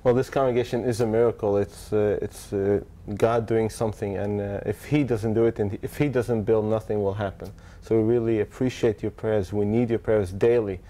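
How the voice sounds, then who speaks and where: Soft-spoken pastor, low mellow voice. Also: male voice, fairly even intonation, a little fast, hardly any pauses. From Israel. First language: Hebrew. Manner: soft-spoken, hesitant with fillers. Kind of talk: interview answers. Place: church hall indoors. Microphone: lapel mic.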